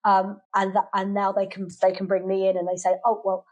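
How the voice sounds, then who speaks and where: A British woman speaks as a podcast guest in a conversational way, with a medium-pitched voice. She is chatty and a little halting, circling back over phrases to stress them. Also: completely flat delivery, a little mellow, dull audio. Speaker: podcast guest, children's language class teacher. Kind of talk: conversational interview answers.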